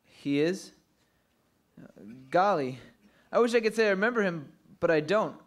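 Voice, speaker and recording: An actor playing a surly, evasive working-class young candy seller on a train in an old-time radio play. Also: male voice, high-pitched, animated, normal speed. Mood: uneasy. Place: live stage performance at a convention.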